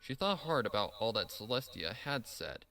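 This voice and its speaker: A man's voice: medium pitch, sing-song, moderate pace, pushed and bright, soft background music. A man reading scripted story narration as the narrator. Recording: clear background noise.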